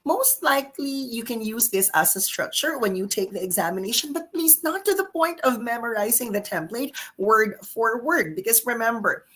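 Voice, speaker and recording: A woman's voice: on the high side, normal speed, few pauses, poor audio. A woman hosting a live exam-prep stream, reading viewer comments and answering them in an emphatic, teacherly way. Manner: animated, emphatic teacherly delivery.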